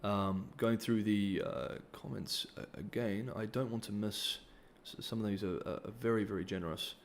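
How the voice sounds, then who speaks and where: A man's voice: lowish voice, steady tone, moderate pace, a little hiss or hum. A man, hosting a casual livestream Q&A and reading donor comments, hesitant, with many ums and restarts. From New Zealand. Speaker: livestream host.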